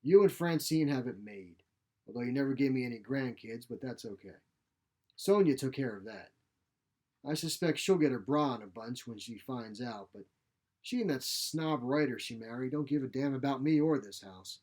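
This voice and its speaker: A man voicing a feisty, sharp-tongued elderly mother in a short story, blunt, folksy and grumbling. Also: medium pitch, animated, normal speed, close to an everyday voice. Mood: frank, scornful. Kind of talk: short story character reading.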